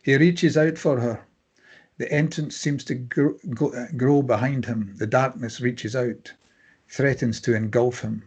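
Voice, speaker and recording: A man narrates a screenplay, reading out scene headings and action. His voice sits at an average pitch and has a husky, unpolished grain. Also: steady tone, a little slow, darkish tone. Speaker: narrator reading stage directions.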